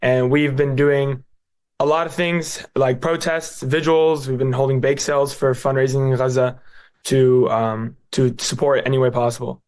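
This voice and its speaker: A man's voice: mid-range voice, little pitch movement, normal speed, darkish tone. A young man from the United States, a guest answering interview questions in an earnest, plain-spoken way. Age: young adult.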